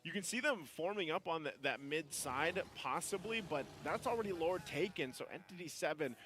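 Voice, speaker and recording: A man casting an esports match, breaking down plays in a measured, explanatory way with frequent "you know" asides. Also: on the high side, animated, a bit bright, slight background noise. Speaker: esports caster. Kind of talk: game analysis commentary.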